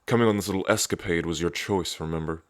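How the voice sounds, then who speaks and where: Guy doing a cocky protective dragon prince, deep voice, teasing and sweary, dry close mic, asmr audio drama. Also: fast, no echo, close to an everyday voice. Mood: teasing, smug. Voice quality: deep, low. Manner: smug, flirtatious, teasing, sweary.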